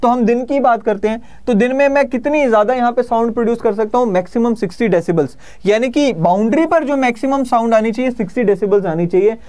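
A man's voice: extremely high pitch, a little fast, hardly any pauses, a little mellow, clear, resonant tone. Indian man teaching a lesson. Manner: conversational, repeats and rephrases points. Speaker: exam-prep teacher. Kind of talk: explanatory lecture mixing Hindi and English. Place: indoor studio in front of a presentation screen.

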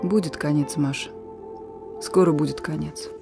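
A woman's voice: deep voice, fairly even intonation, moderate pace, vocal fry, music in the background. A woman playing a young female partisan messenger in a radio drama, terse and guarded, with a teasing, wistful streak. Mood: gentle, hopeful. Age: young adult. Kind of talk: Russian radio drama acting.

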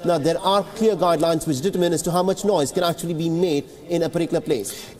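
A man's voice: a little high, steady tone, quick pace, a little mellow, long reverb tail, soft background music. A man in his thirties giving an earnest studio explanation as a news correspondent, often repeating words while he builds his points. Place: TV newsroom.

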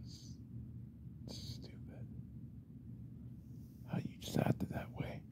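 A man playing a jealous, unhinged yandere boyfriend in an ASMR roleplay, speaking softly and often in whispers, intense and controlling. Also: very slow. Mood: possessive, menacing. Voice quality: soft, breathy, often whispered.

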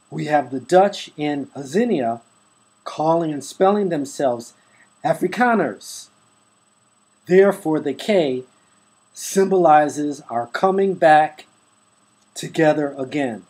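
A man hosting his own online channel, reading a book passage aloud to his webcam in a measured, deliberate way at home. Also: a little high, a little mellow, husky, poor audio. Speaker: YouTube host reading from a book. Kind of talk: slow, deliberate read-aloud to camera. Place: indoors at home, holding a book to the camera.

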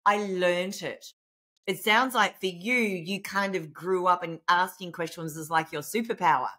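A middle-aged woman with a naturally loud voice, hosting a relaxed interview from home over a webcam. She talks in an animated, expressive way, warm and chatty, and laughs easily. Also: lowish voice, unhurried. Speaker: podcast host. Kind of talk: conversational interview over video call. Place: indoor home room. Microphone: video call webcam.

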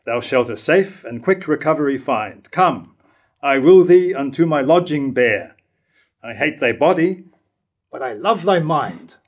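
A man's voice: on the high side, animated, a little slow, breathy edge. An older man playing a courteous, noble warrior in a formal reading of a verse drama. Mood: reassuring. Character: courteous, noble male warrior comforting a wounded foe. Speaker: actor in a staged reading. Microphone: stand mic at lectern.

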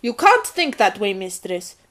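A woman playing an encouraging, excitable enchanted castle servant in an audio drama, with a theatrical manner. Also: medium pitch, a little slow.

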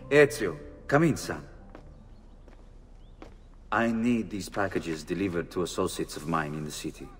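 Deep-voiced, stern father. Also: in a large hall, soft background music. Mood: firm, fatherly. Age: middle-aged. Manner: measured, authoritative. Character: stern, composed middle-aged Italian father and banker. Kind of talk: dramatic video game acting.

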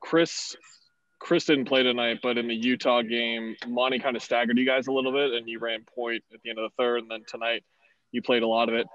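A male sports reporter asks his question evenly and matter-of-factly. His voice stays flat, and the lo-fi line dulls it. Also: medium pitch, brisk. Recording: dull lo-fi line.

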